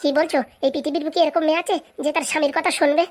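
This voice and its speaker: A woman's voice: sits high, flat delivery, very fast, lightly acted. A woman voicing a brash, scheming man in a comic cartoon, with blunt banter. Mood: indignant, brisk. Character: brash, scheming, hospitable man planning a second wedding.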